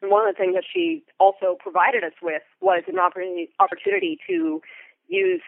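Enthusiastic woman being interviewed, crackly fry in her voice, chatty and articulate, thin narrow call-like audio. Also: medium pitch, big pitch swings, brisk, no echo. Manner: enthusiastic, articulate, thinks aloud. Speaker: theatre company member and Foley artist guest. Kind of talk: conversational interview answers.

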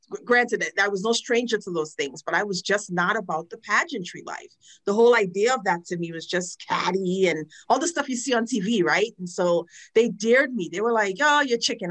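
A woman in her forties from Trinidad, a guest telling her personal story frankly and earnestly, with lively quoted asides. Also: medium pitch. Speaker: guest, domestic violence advocate. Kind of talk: personal testimony in a podcast interview.

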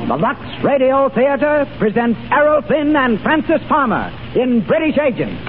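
A man's voice: very high-pitched, sing-song, moderate pace. An American man announcing a 1930s radio show in a formal, courteous, projecting, scripted style. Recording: tinny 1930s radio broadcast with loud music.

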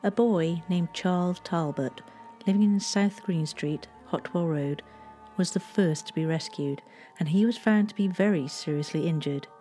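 A woman's voice: fairly low pitch, moderate pace, dark tone, faint music behind. A woman reading scripted historical narration as a storyteller.

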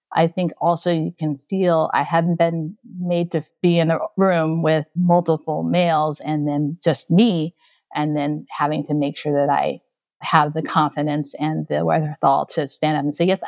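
Guest, woman from Okinawa: low, gravelly voice, earnest and conversational. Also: darkish tone. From Okinawa, Japan. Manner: earnest, acts out quoted exchanges. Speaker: guest, school technology leader. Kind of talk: casual interview talk.

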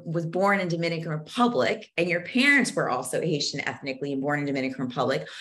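A woman's voice: on the low side, a bit bright. A woman from Zambia, a podcast guest talking with passion and many restarts, verbose and earnest. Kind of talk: conversational interview.

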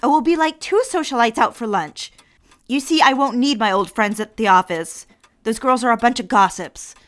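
Woman acting gushing, high-voiced society housewife. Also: normal speed, fairly bright tone, natural voice. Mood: bossy, bright. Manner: genteel, gushing, coaxing. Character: eager, lonely, well-mannered mid-century society housewife. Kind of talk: period audio drama acting.

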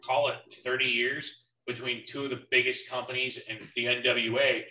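A man's voice: mid-range voice, flat delivery, normal speed, pushed and bright. A man in his early thirties chatting casually as a podcast co-host during a wrestling watch-along. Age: early 30s. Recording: echoey room, distant from mic.

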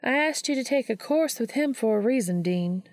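A woman voicing a warm but firm woman mentor in a storybook reading, coaxing and insistent. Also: fairly high pitch, lively intonation, normal speed, dry recording, voice pushed up, close to an everyday voice.

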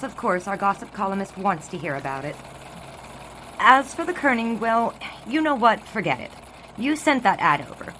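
An actress playing a brisk, long-suffering 1920s newspaper secretary in a period audio drama, dry, clipped and sarcastic. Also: female voice, mid-range voice, a bit bright, slight background noise.

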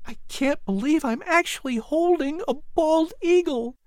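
A man voicing an eager, gentle young boy with earnest wonder in a storybook. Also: very high-pitched, expressive, moderate pace, no room sound, clean studio sound, voice pushed up. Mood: careful wonder.

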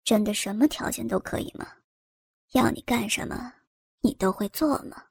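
A woman voicing a cold, domineering village man who gives orders in a low, hushed tone. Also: normal speed, vocal fry, slightly rough, dry recording, studio quality. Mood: menacing.

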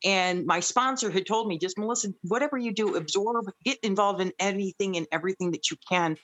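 A woman guest sharing her faith story in casual conversation, earnest and grateful, at times reading scripture aloud slowly. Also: medium pitch, fairly bright tone. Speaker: guest, radio producer.

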